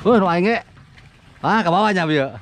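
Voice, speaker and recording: A man in his thirties with a Sundanese accent, giving excited live commentary while fishing outdoors at a pond, often laughing and yelping. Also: high-pitched, moderate pace. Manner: excitable, laughing, yelps when fish bite. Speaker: fishing vlogger.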